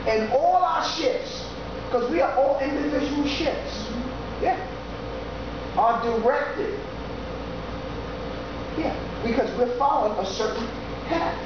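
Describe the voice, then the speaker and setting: Slow, echoey conversational preacher. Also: high-pitched. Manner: slow, conversational preaching with frequent "yeah" and "you know". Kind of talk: informal sermon. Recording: echoey, dull recording with steady background noise.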